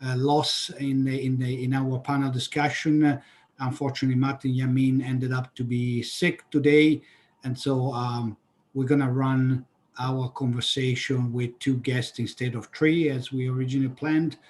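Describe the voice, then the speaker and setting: A man's voice: medium pitch, level tone, normal speed, darkish tone, slight background noise. A man moderating an online panel discussion, speaking hesitantly with many fillers.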